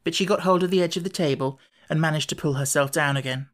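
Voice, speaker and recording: A woman reading a children's classic as an audiobook narrator, in an expressive storytelling manner. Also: low-pitched, quick pace.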